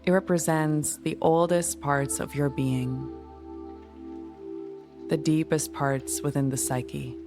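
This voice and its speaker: A woman leading a guided meditation in a soothing, gentle, unhurried manner. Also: sits low, fairly even intonation. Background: music.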